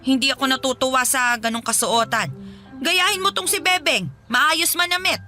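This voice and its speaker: Radio drama: a doting, scolding grandmother, slightly high and sharp. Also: moderate pace, faint music behind. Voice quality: scolding, fussing. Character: doting, strict old grandmother. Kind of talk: classic radio drama acting.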